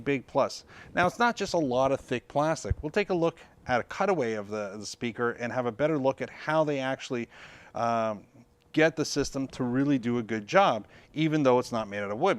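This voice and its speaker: A man's voice: medium pitch, no room sound. Man explaining gear, dry sound. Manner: conversational, instructional, emphatic repetition. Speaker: product reviewer and presenter. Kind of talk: informal product demonstration, explanatory.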